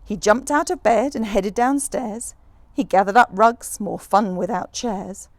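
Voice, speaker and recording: A woman reading a rhyming children's picture book aloud in an expressive, rhythmic storyteller's manner. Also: mid-range voice, measured pace, dry recording. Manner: animated, rhythmic rhyming delivery.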